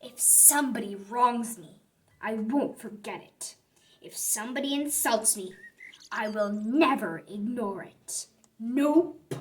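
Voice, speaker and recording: A woman's voice: a little high, slow pace. A woman voicing a touchy, grudge-holding sour grape in a dramatic, emphatic read-aloud for children. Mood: grumpy, indignant. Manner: dramatic, emphatic, growly outbursts.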